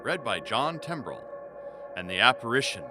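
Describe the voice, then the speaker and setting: Animated male announcer over music. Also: mid-range voice, moderate pace. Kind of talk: scripted spooky show sign-off. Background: loud music.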